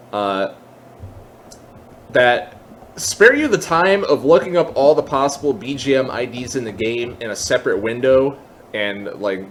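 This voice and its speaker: A man presenting a casual step-by-step software tutorial, explaining informally and thinking aloud as he goes. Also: medium pitch, slight background noise.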